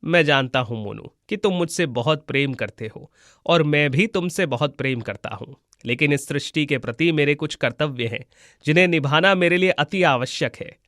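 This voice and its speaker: A man voicing a gentle, wise young god disguised as a schoolboy, calm and reassuring, in a moral-story cartoon. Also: a little high, moderate pace, no room sound, studio quality, voice pushed down, natural voice. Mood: gentle, solemn.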